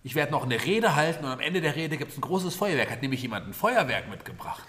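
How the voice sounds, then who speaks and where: A man's voice: on the high side, big pitch swings, fast talker, noisy background. A man hosting an improvised children's audio play, chatting playfully and encouraging others with questions.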